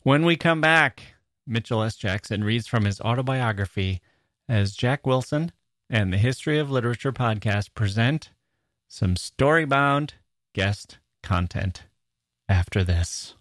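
A man's voice: medium pitch, lively intonation, a little slow, fairly bright tone, dry recording, clean studio sound. A man hosting a podcast with light, playful banter in a mock-formal manner. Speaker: podcast host.

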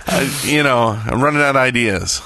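A man's voice: medium pitch, normal speed, very noisy. A man talking casually as a podcast host. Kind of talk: casual chat.

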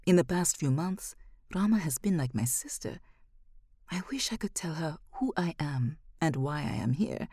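A woman's voice: low voice, warm tone, slightly rough, no echo, clean studio sound. A woman reading a first-person short story as scripted narration.

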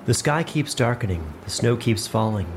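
A man's voice: mid-range voice, expressive, normal speed, a little hiss or hum. A man giving scripted narration for a fantasy story.

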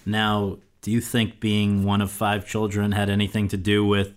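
A man's voice: fairly low pitch, completely flat delivery, moderate pace. A man hosting an interview podcast, speaking in prepared introductions and questions.

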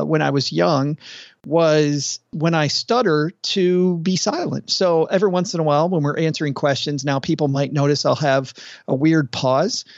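A middle-aged man co-hosting a podcast, chatting casually and at length, with a relaxed, talkative manner and the odd pause. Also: a little high, gravelly, no room sound. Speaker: podcast co-host. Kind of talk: casual conversational chat. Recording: dull, lo-fi dry sound.

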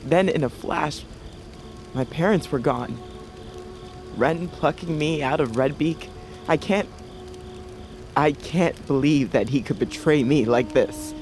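A man's voice: fairly high pitch, takes it slowly, long pauses, darkish tone, faint music behind. A man playing a haunted, sensitive but brave young fugitive in a fantasy audio drama. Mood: haunted, brooding.

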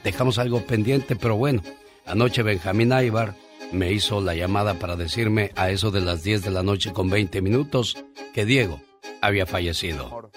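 A man's voice: lowish voice, normal speed. A man hosting a radio show in a casual, informal chat, telling things as anecdotes. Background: music bed.

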